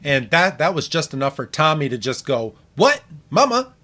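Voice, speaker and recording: A man's voice: fairly high pitch, big pitch swings, normal speed, bright, forceful tone. A man co-hosting a podcast, casually recapping a cartoon episode and often repeating phrases as he explains. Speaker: podcast co-host.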